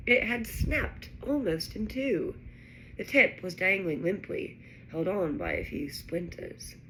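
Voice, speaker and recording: A woman reading a children's novel aloud in an expressive, dramatic narrating style. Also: lowish voice, low-quality recording. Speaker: storybook reader. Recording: faint background noise.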